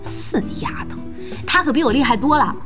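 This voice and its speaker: Feisty, animated young female boss. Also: high voice, normal speed, over music. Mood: exasperated. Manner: exclamatory, scolding, teasing. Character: feisty young female internet cafe boss, quick-tempered and bossy. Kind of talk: Chinese audio drama acting.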